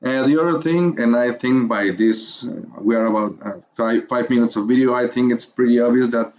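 A man's voice: mid-range voice, darkish tone, highs cut off. Hesitant Mexican-accented man teaching. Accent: Mexican Spanish. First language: Spanish. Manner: hesitant, many fillers and pauses while finding words; encouraging. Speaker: course instructor. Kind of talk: screencast tutorial narration.

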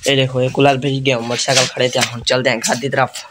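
A man's voice: mid-range voice, level tone, fairly quick. A young man with a Saraiki accent from Pakistan, chatting casually and repetitively as he films a village vlog. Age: teens to young adult. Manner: informal, repetitive running commentary. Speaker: vlogger, host. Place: outdoors in village lanes, fields and walled yard. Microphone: phone at arm's length. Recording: noisy handheld phone recording.

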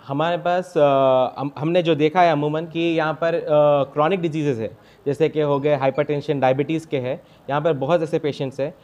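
A young man, a doctor answering interview questions haltingly, with broken starts and English medical terms. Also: a little high, fairly even intonation, darkish tone. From India. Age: young adult. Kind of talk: interview answers at a health camp. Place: small clinic room, indoors. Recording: dull lo-fi sound.

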